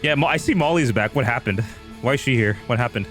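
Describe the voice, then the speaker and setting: A man with a mid-pitched voice hosts a live stream. He talks casually and directly to his viewers, rambling and repeating short phrases as he goes. Music with guitar plays faintly behind him. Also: animated, quick pace, fairly bright tone. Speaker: livestream host.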